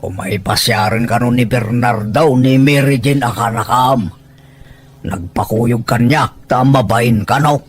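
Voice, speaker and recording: Guy playing older village uncle in an audio drama, teasing and bossy, mid pitch, faint music under. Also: measured pace, lightly acted. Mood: friendly. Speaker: voice actor in an Ilocano audio drama.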